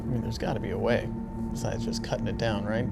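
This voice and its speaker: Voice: mid-range voice, fairly even intonation, quick pace, gravelly, loud music behind the voice. A tabletop roleplaying player chatting casually in character, practical and giving directions to the group. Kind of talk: casual in-character game chat.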